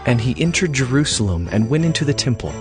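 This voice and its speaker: Male narrator, medium pitch, animated intonation, scripted scripture storytelling, faint music underneath. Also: quick pace.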